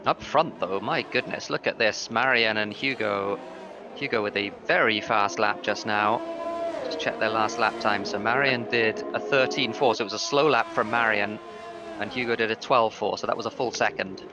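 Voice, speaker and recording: A man's voice: lowish voice, over music. Analytical male sim racing commentator. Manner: measured, analytical, reads lap times. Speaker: lead commentator. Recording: clear background noise.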